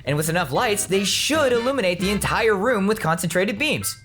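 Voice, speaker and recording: A man's voice: high-pitched, lively intonation, fairly quick, music in the background. A young man playing an earnest, nerdy college student with a stubborn streak in an audio drama. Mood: eager explaining.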